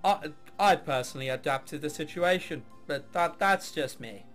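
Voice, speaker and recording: A man's voice: fairly high pitch, moderate pace, a bit bright, soft background music. A man doing a let's play of a visual novel, narrating and throwing in sarcastic, mock-exasperated asides. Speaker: gamer reading and commenting on a visual novel.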